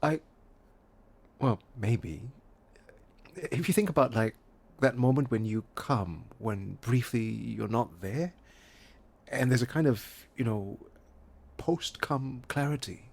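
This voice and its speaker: An actor playing a reticent, intense musician in an audio drama, speaking with a husky voice in a halting, poetic, introspective way. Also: male voice, mid-range voice, animated, full character voice. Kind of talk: naturalistic audio drama dialogue and lyrical monologue.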